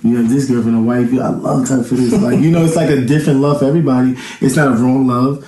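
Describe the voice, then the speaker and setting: A man in his thirties talks casually as a guest in an interview. His voice is dark and mellow, and he rambles as he thinks out loud. The room around him is echoey. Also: mid-range voice, fairly even intonation, quick pace, a little hiss or hum. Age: 30s. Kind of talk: casual chat.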